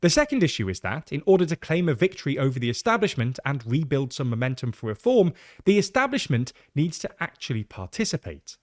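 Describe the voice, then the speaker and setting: Lively man narrating news, higher-pitched. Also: brisk.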